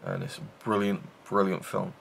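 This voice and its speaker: A man's voice: deep voice, big pitch swings, normal speed, gravelly, breathy edge, low-quality recording. A man hosting a casual film review show, rambling with enthusiasm and many fillers and restarts.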